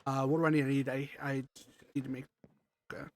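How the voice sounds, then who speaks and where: A man's voice: medium pitch, flat delivery, fast, a little hiss or hum. A man streaming a block-building game, congested from allergies, thinking aloud and rambling as he builds. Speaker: livestream gamer. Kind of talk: casual gameplay commentary.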